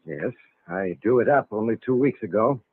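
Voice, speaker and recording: An actor playing a solemn, suspicious estate lawyer with a formal, stiffly courteous manner in an old radio drama. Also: male voice, fairly low pitch, expressive, moderate pace, breathy edge, no room sound. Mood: matter-of-fact. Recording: vintage radio recording, narrow phone-like band.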